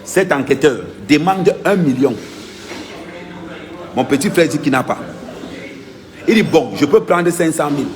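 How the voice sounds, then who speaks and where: Activist vlogger, man, pitched a bit high, emphatic and repetitive delivery. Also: unhurried, long pauses, crowd noise. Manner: insistent, emphatic, repeats key points. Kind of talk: impassioned first-person account.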